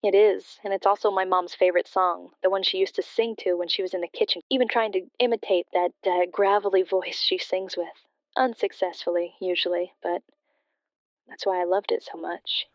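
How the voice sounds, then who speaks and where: Actress as a wistful bereaved daughter, evenly pitched. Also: female voice, steady tone, no room sound, muffled recording, lightly acted. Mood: wistful, wondering. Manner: hesitant, halting, reflective. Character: bereaved daughter, wistful and sincere, confiding a ghostly story. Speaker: caller to a radio show. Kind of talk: scripted audio drama, emotional personal storytelling.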